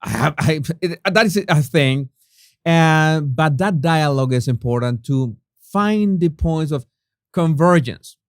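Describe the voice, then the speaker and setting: A man's voice: fairly high pitch, measured pace, no echo, clean studio sound. A Venezuelan man who speaks English with a Spanish accent, chatting casually with his viewers and restarting his sentences often. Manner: chatty, many restarts and fillers, laughs at own jokes. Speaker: YouTube host. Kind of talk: casual chat reading viewer comments.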